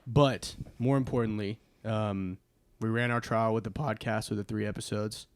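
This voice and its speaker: A young man with a low, gravelly voice co-hosts a casual podcast, loud and bro-ish with quick jokes. He is just getting over a cold. The recording is dry and close. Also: steady tone, no room sound. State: getting over a cold, voice deeper than usual. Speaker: podcast co-host, fitness coach. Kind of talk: casual chat.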